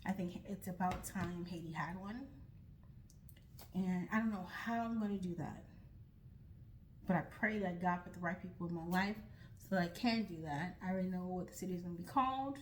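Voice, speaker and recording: A woman's voice: fairly low pitch, slight background noise, rough recording. Earnest young woman talking to camera. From United States. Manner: earnest, reflective, with frequent restarts and "like". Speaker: vlogger starting a nonprofit. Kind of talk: earnest personal talk to camera.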